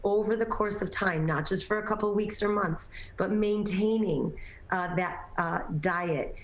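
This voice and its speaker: A woman's voice: mid-range voice, a little slow, roomy sound. A woman guest on a morning TV talk show, a personal trainer and nutrition coach, talking casually with many fillers, her voice a little scratchy. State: slightly scratchy voice. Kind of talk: morning TV interview.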